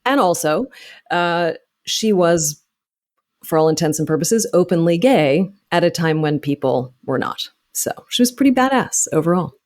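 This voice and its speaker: A woman's voice: lowish voice, expressive, normal speed, a little mellow. A woman in her thirties speaking as an interview guest, explaining things in an articulate, detailed and passionate way. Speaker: interview guest, actor and author. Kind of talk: conversational interview, storytelling.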